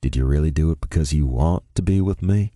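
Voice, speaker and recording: A man voicing a smooth-talking Texan man, sad and resigned, with a low drawl. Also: a little slow, warm tone, no room sound, studio quality. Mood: defeated. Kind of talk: audiobook character voice.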